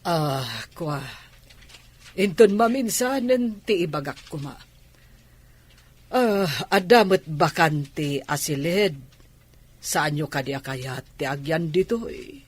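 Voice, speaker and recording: A woman's voice: low-pitched, animated, slow, long pauses, slightly rough, heavily acted. A woman playing an insistent, lonely middle-aged aunt with an Ilocano accent in a radio drama, coaxing as she speaks. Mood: gently pressing.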